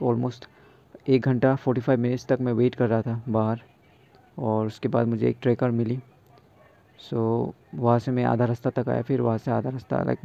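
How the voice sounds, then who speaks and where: A man's voice: medium pitch, creaky, dark tone, highs cut off. A young man in a casual daily vlog, chatting tiredly at home with fillers and English words mixed in, speaking into earphone mics. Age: young adult. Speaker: vlogger. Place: small room at home. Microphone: wired earphone mic.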